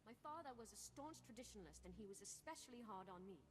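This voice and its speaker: An actress playing an indignant, vengeful daughter in a video game, dramatic and accusing. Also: female voice, medium pitch, expressive, quick pace, close to an everyday voice. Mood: accusing, angry. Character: vengeful, indignant daughter confronting her father's attacker.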